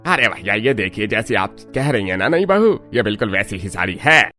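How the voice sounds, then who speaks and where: A man's voice: fairly low pitch, expressive, normal speed, bright, forceful tone, faint music behind. Man acting an eager, polite sari seller. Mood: eager, flattering. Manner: polite, salesman-like. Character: friendly, eager-to-please village sari seller. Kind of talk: Hindi cartoon story acting.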